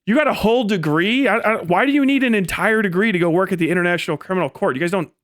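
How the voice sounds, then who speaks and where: High-voiced man ranting off the cuff. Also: racing, dry recording, studio quality. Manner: sweary, blunt and sardonic; animated, acting out imagined dialogue. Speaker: livestream host. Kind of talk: opinionated off-the-cuff livestream rant.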